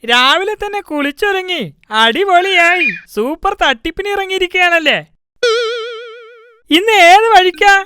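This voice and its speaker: Woman as cartoon shopkeeper dad, very high-pitched, sharp, proud, lecturing, music underneath. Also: expressive, normal speed, exaggerated voice. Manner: boastful, admonishing, lecturing. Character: proud, scolding male shopkeeper father in cartoon. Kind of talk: cartoon dialogue. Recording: music behind the voice.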